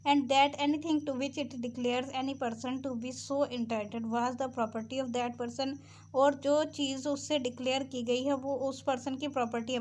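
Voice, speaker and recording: A woman's voice: sits high, darkish tone, slight background noise. A woman with a Pakistani accent giving an explanatory lecture as a law tutor, reading formal text aloud and explaining it.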